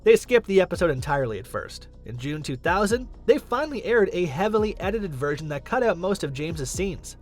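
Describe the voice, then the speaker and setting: High-pitched, lively male countdown narrator over music. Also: fairly quick, hardly any pauses. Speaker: video narrator, list countdown host. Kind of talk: scripted narration.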